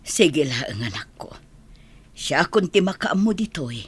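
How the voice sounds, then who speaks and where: Woman as husky, deep-voiced kindly older mother. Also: sing-song, unhurried, full character voice. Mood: kind, reassuring. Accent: Ilocano. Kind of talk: Filipino radio drama acting.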